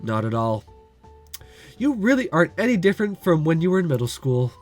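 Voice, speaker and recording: A man's voice: fairly high pitch, sing-song, fairly quick, a little mellow, faint music behind. A man reading as a teasing young woman. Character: young woman, teasing childhood friend, blunt.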